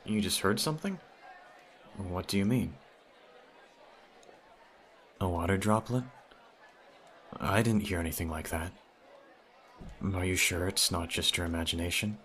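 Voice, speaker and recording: A man's voice: deep voice, a little slow, pauses often, a bit bright, a faint crowd, slight background noise. A man playing a teasing, smitten teenage childhood friend in an ASMR audio roleplay, talking straight to the listener in a conversational way. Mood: puzzled, amused.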